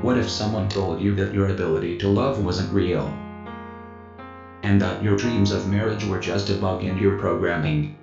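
A man voicing a male android in a parody charity ad, speaking low and earnest. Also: flat delivery, moderate pace, vocal fry, echoey room, lo-fi sound, music in the background.

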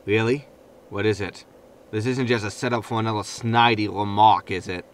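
An actor playing a grumpy, indignant disgraced spaceship captain in a sci-fi comedy audio drama, peevish and sarcastic. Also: male voice, lowish voice, fairly even intonation, moderate pace, a little hiss or hum, close to an everyday voice. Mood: weary, exasperated.

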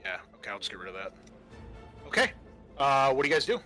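A man's voice: medium pitch, sing-song, fast, pushed and bright, soft background music. A man narrating a tabletop roleplaying game as game master, casual and thinking aloud as he goes.

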